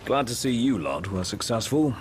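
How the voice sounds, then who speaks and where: An actor playing a composed, calculating young tactician in a video game, speaking in a measured, precise and articulate way. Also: male voice, mid-range voice, very animated, soft background music, natural voice. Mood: calm, commanding. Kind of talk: dramatic video game voice acting.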